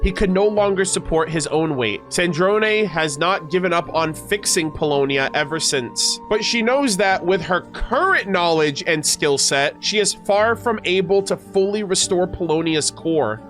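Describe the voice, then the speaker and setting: A high-pitched male streamer moves between reading story text aloud and giving casual reaction commentary. His talk is slangy and excitable. Light music plays behind his voice. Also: moderate pace, hardly any pauses. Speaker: YouTube game streamer. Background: background music.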